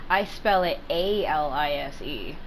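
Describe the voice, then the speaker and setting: A woman's voice: low-pitched, measured pace. A woman giving casual, rambling gameplay commentary, often repeating herself for emphasis. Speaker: gaming video host. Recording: faint background noise and game ambience.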